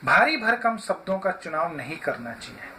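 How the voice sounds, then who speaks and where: A man giving an instructional talk as a voice therapist, deliberately, phrase by phrase with frequent pauses. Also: sits high, big pitch swings, bright, forceful tone, slight background noise, poor audio. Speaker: voice therapist and yoga therapist teaching.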